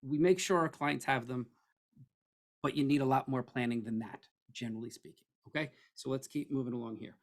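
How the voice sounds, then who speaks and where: A middle-aged man with a hoarse, froggy voice, presenting an estate planning webinar in a conversational way that keeps checking in with "okay?". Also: medium pitch, a little fast. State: hoarse, losing his voice.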